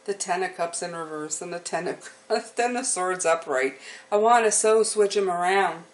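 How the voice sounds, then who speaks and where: A woman giving an unscripted, reassuring tarot reading to her viewers, often pausing to check in with the listener. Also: on the low side, a little hiss or hum. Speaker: tarot reader hosting a channel.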